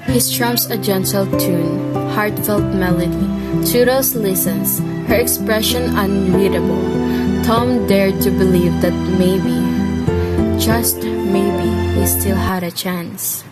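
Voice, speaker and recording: Woman storyteller, scripted dramatic narration, normal pitch, loud music n rain under. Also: fairly even intonation, takes it slowly.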